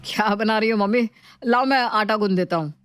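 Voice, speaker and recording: A woman's voice: medium pitch, fairly quick, clear, resonant tone, no echo, lightly acted. Young male office worker, grumbly yet smitten, slangy storybook dialogue, played by a woman. Dry, close sound. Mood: eager, nervous. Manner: casual Hinglish slang, grumbling or musing to himself.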